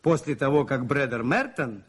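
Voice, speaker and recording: A priest detective in a radio drama, quietly insistent and calm. His voice is husky and mid-pitched, and he reasons things out with deliberate, measured firmness. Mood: calm, explaining. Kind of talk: 1980s Soviet radio play acting.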